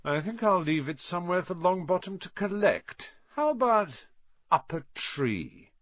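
A man's voice: high-pitched, very animated, a little slow, dry recording, lo-fi sound, pitched above the performer's own voice, heavily acted. A man voicing a spiteful schoolboy bully in a storybook, with a taunting, mocking manner. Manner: taunting, drawling mockery.

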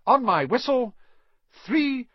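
A man voicing a brisk, bossy but caring woman teacher in a storybook, with commanding, clipped delivery. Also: extremely high pitch, big pitch swings, no room sound, highs cut off, pitched above the performer's own voice, full character voice.

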